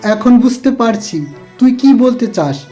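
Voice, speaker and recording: A woman's voice: a little high, animated, measured pace, dark tone, faint music behind. A woman voicing an elderly village headman in a cartoon, mildly impatient. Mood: concerned.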